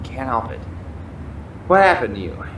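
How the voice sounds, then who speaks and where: Guy acting naive eager sidekick, pitch bounces around, roomy sound, audio drama. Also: sing-song, normal speed, a bit bright, a little hiss or hum. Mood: earnest. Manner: earnest, reactive. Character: eager, naive, easily flustered young male podcaster sidekick. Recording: roomy echo, faint music.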